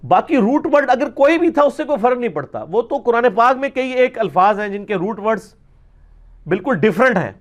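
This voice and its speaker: A man's voice: extremely high pitch, expressive. A man with a Pakistani Punjabi accent giving an informal religious question-and-answer talk, explaining emphatically in colloquial speech and checking that the listener follows.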